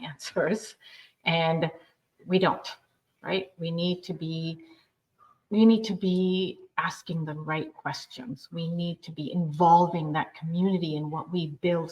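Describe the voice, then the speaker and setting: A woman from Uganda speaking on a nonprofit panel, telling a story in an earnest, lively way. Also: low-pitched. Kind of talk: panel discussion. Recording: dull lo-fi sound.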